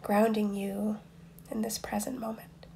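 A woman guiding a meditation in a soft, breathy, near-whispered voice, soothing and unhurried, with long pauses between instructions. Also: mid-range voice, level tone, a little hiss or hum. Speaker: meditation guide. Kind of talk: guided meditation.

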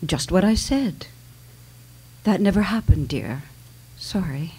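Woman playing ailing mother, husky lowish voice, swooping intonation, vain, blunt, theatrical, stage reading. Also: animated, slow pace, dark tone, exaggerated voice, poor audio. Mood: dismissive. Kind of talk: dramatic reading of a novel on stage. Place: small dimly lit stage venue. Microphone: microphone on a stand.

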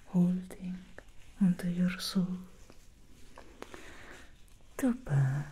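A young woman whispering slowly as an alluring female demon hairstylist in an ASMR roleplay, close to the mic. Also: deep voice, warm tone, slight background noise.

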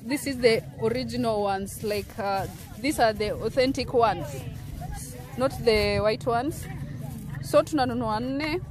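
A woman's voice: a little high, measured pace. A woman with a Kenyan accent vlogging a road trip outdoors, chatty and laughing often as she talks straight to her viewers. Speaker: travel vlogger. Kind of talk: casual travel vlog narration. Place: outdoors by a roadside market. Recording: loud outdoor noise.

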